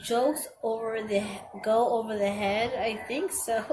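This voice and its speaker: A young woman with a girlish voice reads a checklist aloud and comments on it hesitantly, stumbling over words and filling pauses. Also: fairly high pitch, a little mellow, low-quality recording. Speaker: vlogger. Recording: home video with clear background noise.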